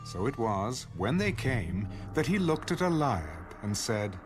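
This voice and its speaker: Guy narrating bible story, scripted, slow n expressive, music clearly under. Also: fairly low pitch.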